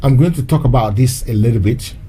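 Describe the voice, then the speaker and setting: A man's voice: medium pitch, a little fast, warm tone, slight background noise. A man hosting a live-stream show, talking in a loose, repetitive way and slipping in short Yoruba phrases. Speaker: host of an online activist show. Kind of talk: casual live-stream address.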